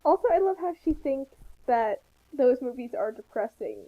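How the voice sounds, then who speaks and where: Woman, high voice, sarcastic co-commentator, casual joking, slightly muffled recording. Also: lively intonation, moderate pace, a little hiss or hum. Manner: wry, sarcastic. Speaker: co-commentator on a fan fiction reading.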